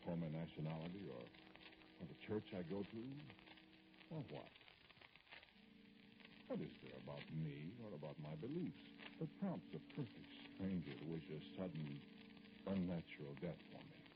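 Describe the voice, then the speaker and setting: An actor playing an aggrieved, dignified politician in an old radio drama, speaking formally and hesitantly in a gravelly voice. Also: male voice, big pitch swings, heavily acted. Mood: wounded, indignant. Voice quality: very deep, gravelly. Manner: hesitant, formal, pointed, with pauses. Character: aggrieved middle-aged male politician, dignified, brooding, addressing a caller. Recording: noisy, dull vintage radio recording.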